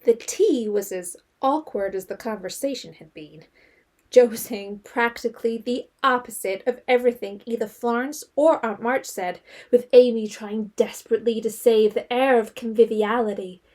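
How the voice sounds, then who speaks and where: An actress narrating a period novel in an audio drama as a wry, composed storyteller. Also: female voice, fairly high pitch, moderate pace, darkish tone, lightly acted.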